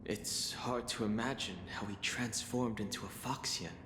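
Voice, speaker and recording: A man voicing a quiet, serious young warrior in a video game, thoughtful and understated. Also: fairly low pitch, steady tone, normal speed, background noise, natural voice. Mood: grave, pensive. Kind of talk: scripted video game voice acting. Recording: echoey reverb, music behind.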